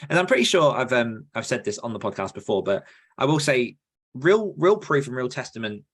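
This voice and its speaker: A man's voice: mid-range voice, very animated, brisk, fairly bright tone, husky. A man hosting a podcast, explaining things earnestly in a casual, conversational way. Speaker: podcast host.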